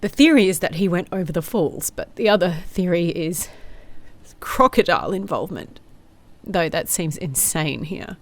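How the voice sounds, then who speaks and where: A woman's voice: on the low side, lively intonation, moderate pace. A woman playing a weary, sardonic female private investigator, speaking in a dry, confessional audio diary style for an audio drama. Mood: bleak, dejected.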